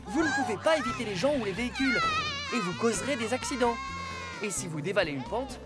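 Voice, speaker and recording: A woman voicing a calm, caring rescue robot car who patiently teaches children about safety in a dubbed cartoon. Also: on the high side, fast, natural voice. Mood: instructive. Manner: patient, instructive safety lecture. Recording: studio voice with music behind.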